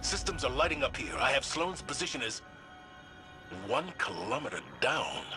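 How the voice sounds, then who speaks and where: Man as a grave commander, mid-pitched. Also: very animated, music in the background, lightly acted. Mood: focused. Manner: measured, authoritative orders. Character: resolute, grave male military commander. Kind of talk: dramatic video game voice acting.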